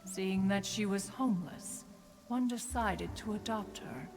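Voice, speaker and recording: Casting: a haughty, ancient crane immortal for a game; measured, formal delivery, slow, with music beneath. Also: female voice, medium pitch, vocal fry. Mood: grave, solemn. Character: dignified, proud crane adept, ancient female immortal master. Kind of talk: scripted video game voice acting. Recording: long reverberant tail, music underneath. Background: background music.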